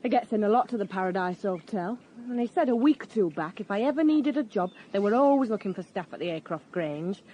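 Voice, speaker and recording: A woman's voice: mid-range voice, animated, brisk, few pauses, highs cut off, a little hiss or hum, close to an everyday voice. An actress playing a brash, offhand young working-class woman in a radio crime drama. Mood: offhand, chatty. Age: young adult.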